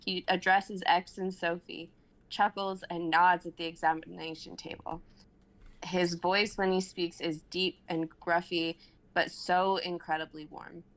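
A woman's voice: lowish voice, level tone, a little slow, a bit bright, low-quality recording. A woman in her twenties reading stage directions aloud as narrator in a dramatic script reading. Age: 20s. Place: home room on a group video call.

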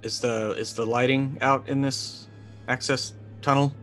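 A man's voice: mid-range voice, steady tone, measured pace, fairly bright tone, faint music behind, close to an everyday voice. A man voicing an uneasy, polite space colonist in a tabletop game, hesitant and wry. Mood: uncertain. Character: uneasy, polite male colonist waking in a wreck.

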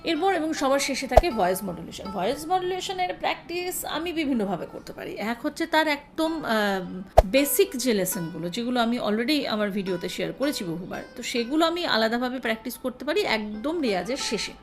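A woman, a singing teacher calmly explaining practice routines to her students. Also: fairly high pitch, a little fast, loud music behind the voice. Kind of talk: casual spoken lesson.

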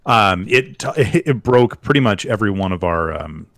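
A man's voice: on the low side, lively intonation, moderate pace, a bit bright. A man chatting casually while playing a game with a friend, joking and trailing off with filler words. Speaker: gaming channel host. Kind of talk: casual co-op gameplay chat.

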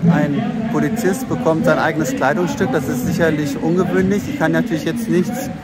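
A man interviewing someone outdoors, asking measured, polite questions. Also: a little high, steady tone. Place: outdoor city square. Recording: loud street and traffic noise. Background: traffic and city ambience.

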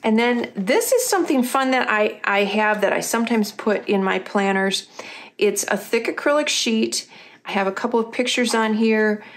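A middle-aged woman, a little husky and losing her voice, chatting casually as she walks through her planner pages. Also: a little high, normal speed. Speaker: craft company host. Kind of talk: casual craft tutorial voice-over.

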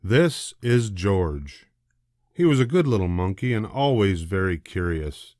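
An older man narrating a children's storybook in a deliberate, expressive way. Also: low-pitched, dry recording, studio quality. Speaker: storybook reader.

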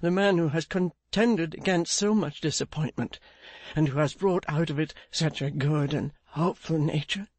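A reader as an anguished gentleman, slow and broken. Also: medium pitch, fairly even intonation, no echo. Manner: slow, broken, repeating himself in grief. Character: anguished, guilt-ridden gentleman, gentle and weary. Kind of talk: audiobook character acting.

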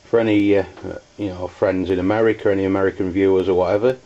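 An English man demonstrating hobby gear in a halting, hesitant way. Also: low-pitched, flat delivery, dark tone, muffled recording. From United Kingdom. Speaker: CB radio enthusiast reviewing gear.

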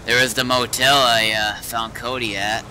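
A man's voice: medium pitch, flat delivery, moderate pace, bright, forceful tone, a little hiss or hum, poor audio. A man chatting casually with friends during an online roleplay game. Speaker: roleplay gamer.

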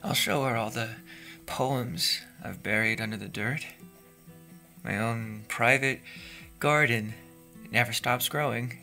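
Slow and bright, a lonely, lovelorn young man's private monologue in a horror audio drama. He sounds wistful and confiding at a medium pitch, with faint guitar music behind him. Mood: dreamy, devoted. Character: lonely, lovelorn, secretive youth musing alone. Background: soft plucked guitar music.

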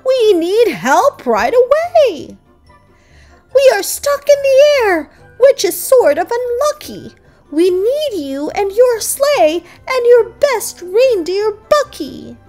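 A woman's voice: big pitch swings, slow pace, darkish tone, soft background music, exaggerated voice. A woman voicing a panicked small elf calling urgently for rescue in a children's storybook read-aloud. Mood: panicked, pleading. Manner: shrill, urgent pleading.

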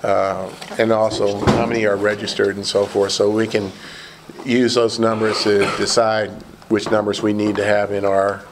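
Hoarse man chairing a meeting, flat. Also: lowish voice, noisy background. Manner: halting, many fillers and restarts. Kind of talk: running a committee meeting. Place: large meeting room indoors.

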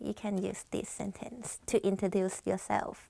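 A woman's voice: medium pitch, fairly even intonation, moderate pace, gravelly, dark tone, no echo. A woman in her twenties from Thailand with a Thai accent, giving an informal language lesson as a teacher talking to camera. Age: 20s. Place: small indoor studio. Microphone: lapel mic.

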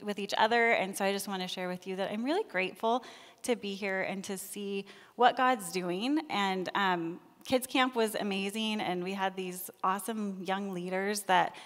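A young woman with a soft voice who speeds up when excited, giving a sermon in a church sanctuary. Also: mid-range voice. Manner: soft-spoken, talks fast when excited. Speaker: lay preacher. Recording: long reverb of a church hall.

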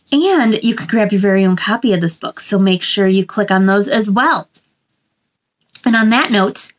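High voiced lady doing kids story time, expressive, talks simply to little ones. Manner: expressive, simple explanations addressed to children. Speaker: children's story time host. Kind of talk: picture book read-aloud and chat to young viewers. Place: small indoor room.

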